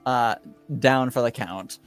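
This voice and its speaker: A man narrating a tabletop game casually as game master, with a hesitant, halting delivery. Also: medium pitch, smooth, faint music behind.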